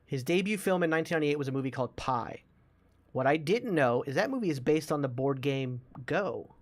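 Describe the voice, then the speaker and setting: A man's voice: a little high, expressive, fairly quick. A man chatting casually as a podcast host about films, explaining things with enthusiasm. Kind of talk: casual movie discussion.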